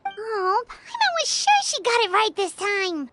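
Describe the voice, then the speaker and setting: A woman voicing a tiny, excitable, childlike fairy companion in a video game, with a squeaky voice and an exclamatory manner. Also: measured pace, no echo, exaggerated voice. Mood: puzzled, musing. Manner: talks about herself in third person, exclamatory. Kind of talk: animated video game voice acting. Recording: loud game music behind.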